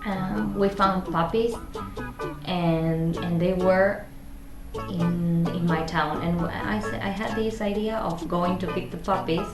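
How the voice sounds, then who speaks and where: Argentine girl in her 20s vlogging, spanish accent, kinda low voice, guitar music behind her. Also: steady tone, slow pace, echoey room. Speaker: vlog host. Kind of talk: casual chat to camera.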